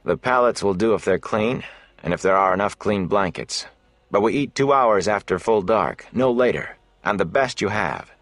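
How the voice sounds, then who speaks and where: A man's voice: lowish voice, animated, normal speed, a bit bright, no echo. A man voicing a wary young traveling musician in an audiobook, speaking in a level, firm, guarded way as he bargains. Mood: firm, bargaining.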